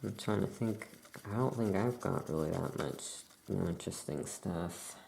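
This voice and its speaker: A soft-spoken ASMR performer playing a laid-back witch shopkeeper, musing aloud in an unhurried, creaky voice. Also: deep voice. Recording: faint background noise.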